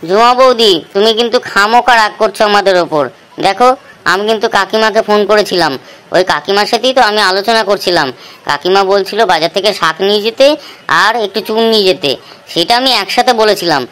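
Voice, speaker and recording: A woman's voice: mid-range voice, quick pace, a bit bright, lightly acted, rough recording. A woman voicing a cheeky young male village prankster in a comedy cartoon, with slangy, teasing banter. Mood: placating.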